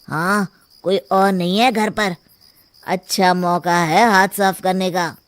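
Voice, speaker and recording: Slow-talking sneaky burglar, voiced by a woman. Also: fairly low pitch, fairly even intonation, dry recording. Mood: scheming. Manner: conspiratorial. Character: sneaky, jittery village burglar.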